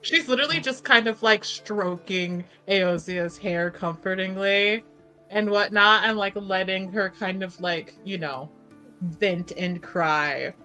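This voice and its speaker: A woman narrating a character in a tabletop roleplaying game, casually improvising in a halting, emphatic, conversational way. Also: mid-range voice, pushed and bright, soft background music.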